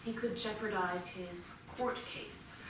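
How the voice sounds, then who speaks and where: A woman's voice: medium pitch, fairly even intonation, slow, lightly acted. A woman in a staged play reading playing a protective, wary mother, guarded, clipped and challenging. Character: protective, wary mother of accused teenage student. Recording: echoey theatre, distant mic, noisy.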